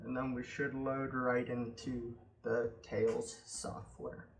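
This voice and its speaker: A man hosting a tech tutorial, calmly explaining each step of a computer walkthrough with occasional ums. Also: mid-range voice, gravelly. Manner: unhurried step-by-step explaining with fillers. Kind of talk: screen-recorded how-to walkthrough.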